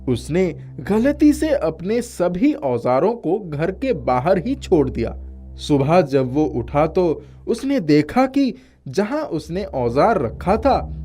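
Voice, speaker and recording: Slow, very animated male storyteller. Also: on the high side. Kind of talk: scripted narration of a moral story.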